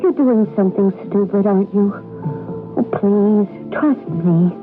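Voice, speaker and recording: A woman's voice: mid-range voice, unhurried, music in the background, natural voice. An actress playing a desperate, yearning young woman in a radio drama, pleading and earnest. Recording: narrowband 1980s radio broadcast.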